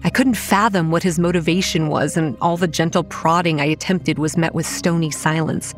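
An actress playing a wary, anxious young campground manager who narrates a horror audio drama in the first person. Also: female voice, low voice, steady tone, normal speed, soft background music, natural voice. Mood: wary narration.

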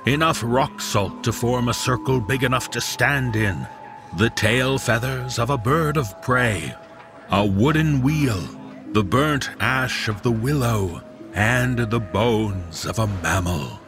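An actor playing an ominous, solemn man reciting an occult ritual in a gravelly, deliberate voice for an audio horror drama. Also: mid-range voice, over music.

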